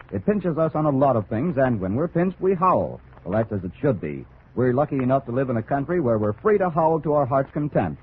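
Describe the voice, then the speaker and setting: An American man announces a sponsor message in 1940s radio style. His delivery is folksy and measured, in a medium-pitched voice. The sound is narrow and phone-like, with faint noise. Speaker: commercial announcer. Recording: tinny, noisy old radio recording.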